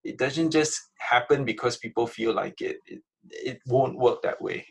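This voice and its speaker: A man with a raspy, creaky voice answering interview questions in an informal, conversational way, often checking in with the listener. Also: medium pitch, normal speed. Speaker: interview guest, museum innovation director.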